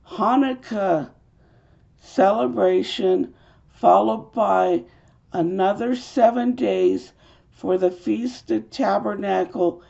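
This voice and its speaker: Hoarse woman with a gravelly, slow voice. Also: mid-range voice, mellow. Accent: American. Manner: earnest, halting with fillers. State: hoarse from a cold. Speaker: video host. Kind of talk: urgent religious video monologue. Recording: dull lo-fi home recording.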